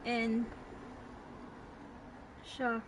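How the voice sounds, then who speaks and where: Tired woman streamer, slow-paced. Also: fairly high pitch, monotone, very noisy. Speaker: game streamer. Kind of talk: casual livestream, reading game lines aloud.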